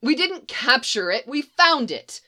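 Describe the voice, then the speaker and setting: A woman voicing a nervous male scientist who rushes through eager explanations. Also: sits high, big pitch swings, bright, forceful tone, voice pushed up, exaggerated voice.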